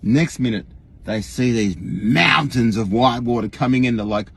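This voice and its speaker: An Australian man imitating an earnest, rambling online guru in a folksy, emphatic livestream monologue, with a husky voice. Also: medium pitch, normal speed, fairly bright tone. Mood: incredulous, mocking. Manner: folksy, emphatic, rhetorical questions, frequent chuckles.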